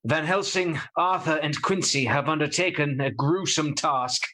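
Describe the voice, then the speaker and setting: An actor playing an earnest, desperate young vampire fighter in a radio play, urgent and dramatic. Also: male voice, a little high, normal speed, heavy background noise, close to an everyday voice. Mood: grim, determined.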